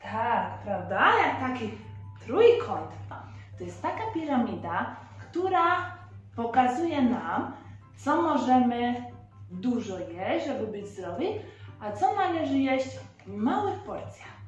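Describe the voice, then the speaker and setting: Young female children's teacher, highish lively voice, explaining simply in a reverberant room. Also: slow, muffled recording. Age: young adult. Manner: simple, explanatory, prompting with questions. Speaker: presenter of a children's Bible lesson. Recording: very echoey room, faint background music.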